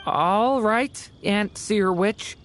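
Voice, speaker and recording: A woman's voice: medium pitch, extremely slow, slight background noise. A woman voicing a polite, curious and earnest girl in a video game.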